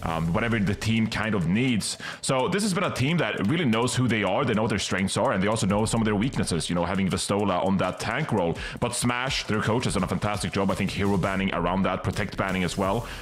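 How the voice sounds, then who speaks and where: Man, esports analyst, quick talker, low voice, upbeat, faint music. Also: a little hiss or hum. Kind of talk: fast analyst desk chat.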